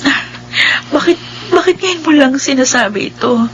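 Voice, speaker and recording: An actress playing a gentle, wistful blind young wife in a Filipino radio drama, with a Filipino accent and a soft-hearted conversational manner. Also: female voice, high voice, lively intonation, normal speed, slight background noise, rough recording. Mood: tender, regretful.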